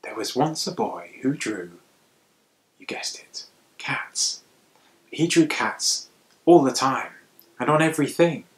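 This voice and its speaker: A man telling folktales to children in an animated, theatrical way, with dramatic pauses and hushed asides. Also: medium pitch, poor audio. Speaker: storyteller.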